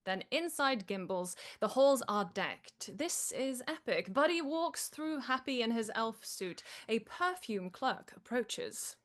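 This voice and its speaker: A woman's voice: a little high, lively intonation, slow pace, hardly any pauses. A woman reading stage directions as the narrator of a live comedy table read.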